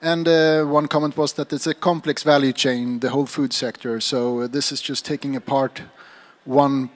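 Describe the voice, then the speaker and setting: A man giving an impromptu summary to a room, measured and halting, often restarting his phrases. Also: mid-range voice, fairly even intonation. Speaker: government agency staffer. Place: live event room.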